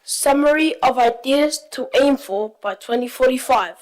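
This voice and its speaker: Young woman, from Tonga, high-pitched voice, youth presenter, reading prepared points aloud. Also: normal speed, darkish tone, low-quality recording.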